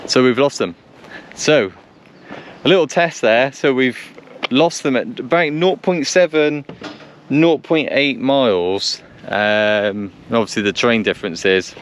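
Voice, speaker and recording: A man talks in a casual, practical way, somewhere outdoors with noise behind him. His voice sits at a medium pitch. He thinks aloud, repeats phrases and breaks off to make short radio calls. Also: fairly bright tone. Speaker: vlogger testing two-way radios. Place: outdoors on a country lane. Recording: noisy outdoor recording with vehicle sounds.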